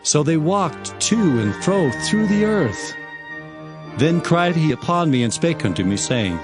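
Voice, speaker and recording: A man's voice: a little high, very animated. Man playing a formal, reverent prophet over music. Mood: measured. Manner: measured, formal, declamatory. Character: reverent male Hebrew prophet recounting visions. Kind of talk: dramatized scripture narration.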